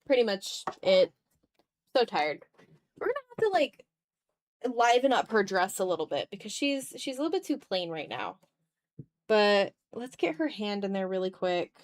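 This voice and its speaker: A woman's voice: medium pitch, very animated, normal speed. A woman streamer chatting informally on a livestream while she draws, often muttering to herself as she works. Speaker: streamer hosting an art stream. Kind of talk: casual livestream chat while drawing.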